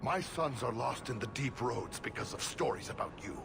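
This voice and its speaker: Over clear rumbling noise comes a middle-aged dwarf merchant in a fantasy game. His voice is gravelly and creaky, and he sounds like an indignant father who both accuses and pleads. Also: expressive, moderate pace. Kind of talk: video game voice acting.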